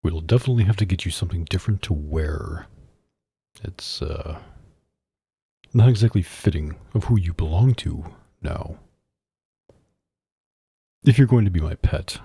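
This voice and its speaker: Mafia boss, male actor: dark, gravelly tone, stern and possessive, controlled menace, intimate ASMR delivery in a dry close space. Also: low voice, no room sound, heavily acted. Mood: possessive, commanding. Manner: slow, stern, menacing and controlled, with long pauses. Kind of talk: ASMR roleplay, intimate address to the listener.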